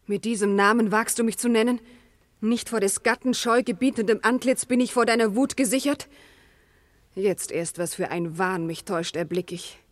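An actress playing an anguished, proud noble wife in a classical verse radio drama, impassioned and declamatory. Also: female voice, medium pitch, moderate pace, dry recording, natural voice. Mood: scornful, cursing.

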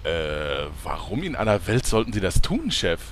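A man's voice: sits low, wildly swooping pitch, moderate pace, a little hiss or hum. Nagging chirpy sidekick, male actor, audio comedy: hugely varied intonation, pestering, theatrical. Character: relentlessly chatty, annoyingly cheerful sidekick. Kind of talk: German comedy audio drama acting.

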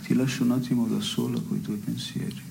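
A pedantic, needling man with a medium-pitched voice. He talks deliberately in absurdist theatre dialogue, with noticeable ambient noise in the recording. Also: little pitch movement, vocal fry, dark tone, low-quality recording. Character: pedantic, needling, dejected man sparring with his female partner. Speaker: stage actor performing a two-person play.

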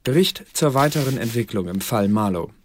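A man's voice: mid-range voice, expressive, fairly quick, close to an everyday voice. An actor playing an officious male secret-service bureaucrat, dictating reports formally and precisely in a radio drama. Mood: officious, measured.

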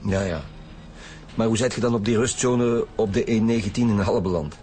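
An actor playing an impatient chief inspector in a radio drama, with a blunt, suspicious manner and a Flemish accent. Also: male voice, fairly low pitch, fairly quick, darkish tone, lightly acted. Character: gruff, impatient male chief inspector leading a murder squad.

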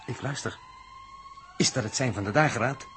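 A man's voice: mid-range voice, moderate pace, a bit bright, close to an everyday voice. A man playing an alert, wry Earthman under siege in a 1960s radio play, on a faintly noisy old recording. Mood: tense, wry.

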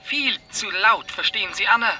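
An imperious director in an old radio drama. He is pompous and peremptory, with a very sharp, forceful voice. Faint music plays behind him. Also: sits high, moderate pace. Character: imperious, irritable male company director bossing secretaries. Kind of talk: 1950s German radio play acting.

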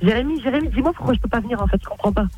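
A woman on the receiving end of a prank call, talking fast and protesting indignantly, repeating herself. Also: fairly high pitch, loud music behind the voice.

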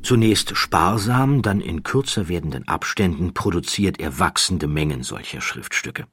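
Low husky guy narrating a true story, measured n a bit wry, dry close recording. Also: lively intonation, fairly bright tone, no echo. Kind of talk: scripted documentary storytelling narration.

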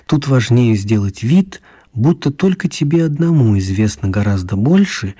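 A man narrating a children's radio play as an expressive, wry storyteller. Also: medium pitch, normal speed, husky. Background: music.

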